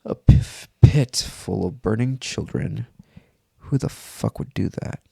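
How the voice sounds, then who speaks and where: Low-voiced man narrating horror. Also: slow pace, vocal fry, mellow, husky, no room sound. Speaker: narrator reading a horror story. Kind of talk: scripted first-person horror story narration.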